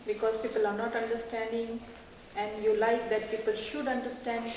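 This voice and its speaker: Woman, fairly high voice, polite, careful, simple phrasing, big echoing hall. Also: flat delivery, normal speed, a little mellow, a little hiss or hum. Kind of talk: interview answers. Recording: echoey hall.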